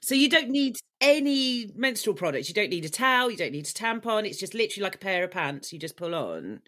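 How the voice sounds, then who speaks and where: A woman's voice: mid-range voice, big pitch swings, fairly quick, fairly bright tone. A young woman hosting a podcast, chatty and jokey, who laughs often. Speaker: podcast host. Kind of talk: casual interview chat.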